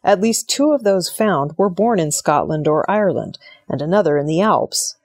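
A woman with a fairly low voice reads prepared news stories in a measured, formal way. The recording is dry and close, with little sense of a room around her. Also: sing-song, creaky, darkish tone, no room sound. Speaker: news reader. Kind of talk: scripted news narration.

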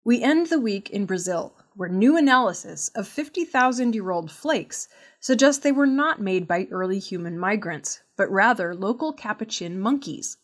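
A woman reading a scripted news report in a measured way, carefully enunciating technical terms. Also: mid-range voice, animated. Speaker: news reader.

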